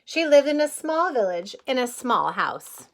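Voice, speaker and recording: Female kindergarten teacher for picture-book read-aloud: higher voice, unhurried pace, expressive, simple explanations and questions to the listeners. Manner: expressive storytelling, often chuckling.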